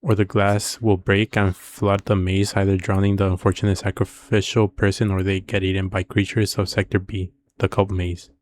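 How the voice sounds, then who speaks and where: Deep-voiced, monotone man thinking aloud. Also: normal speed, hardly any pauses, slight background noise. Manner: informal, musing, thinking aloud with questions. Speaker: reaction video host. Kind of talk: casual reaction commentary and speculation.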